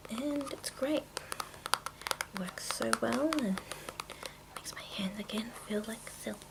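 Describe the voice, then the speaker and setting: A woman whispering in a breathy, hushed, unhurried way with halting pauses, presenting an ASMR show-and-tell. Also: fairly high pitch, heavy background noise. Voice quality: breathy whisper with creaky edges. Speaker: ASMR video host.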